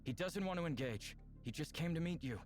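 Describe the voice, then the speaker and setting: A man voicing a calm, reassuring sci-fi character in a visual novel, speaking earnestly with music playing behind him. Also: fairly high pitch, fairly quick, lightly acted. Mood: firm, urgent. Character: calm, reassuring man bonded to an alien symbiont.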